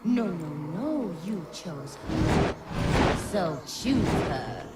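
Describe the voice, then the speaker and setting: A woman playing a seductive, cruel game villainess who mocks and commands with deliberate delivery. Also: on the low side, big pitch swings, loud music behind the voice, heavily acted. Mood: mocking, seductive. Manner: mocking, slow and deliberate. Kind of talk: dramatic video game acting. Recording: echoing voice amid loud game ambience.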